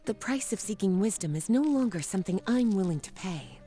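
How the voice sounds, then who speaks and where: A woman's voice: medium pitch, expressive, brisk, dark tone, soft background music, close to an everyday voice. A woman playing a poised, self-assured, teasing scholar turned librarian in a video game, speaking languidly. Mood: coolly firm.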